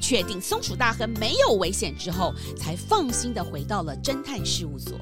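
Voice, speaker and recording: A woman narrating a children's story in an animated storytelling style. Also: medium pitch, normal speed. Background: music.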